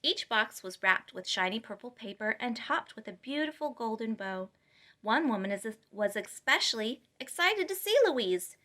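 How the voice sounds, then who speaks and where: A woman reads a children's storybook aloud. Her voice is bright and forward, sitting a little high, and she delivers the narration with clear emphasis and expression. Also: animated, moderate pace. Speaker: storytime reader.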